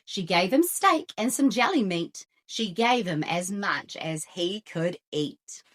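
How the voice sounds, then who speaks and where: Female children's storyteller: bright, very animated, unhurried, rhythmic. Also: medium pitch. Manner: expressive, deliberate rhythmic phrasing. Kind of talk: children's picture-book read-aloud.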